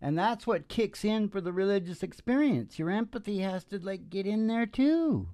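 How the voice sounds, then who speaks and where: A man's voice: high-pitched, animated, normal speed, warm tone, no room sound. An older man hosting a music show, chatting casually and in a rambling, opinionated way with crude humor, close on a microphone. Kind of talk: rambling casual chat between songs. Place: small dim curtained room. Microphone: close vocal microphone on a stand. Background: acoustic guitar.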